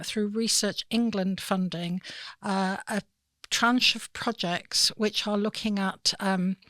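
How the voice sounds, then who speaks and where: A woman's voice: medium pitch, a bit bright, no room sound. Earnest woman hosting a podcast. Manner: earnest, thinks aloud with fillers and restarts. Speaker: podcast host. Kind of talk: conversational podcast discussion.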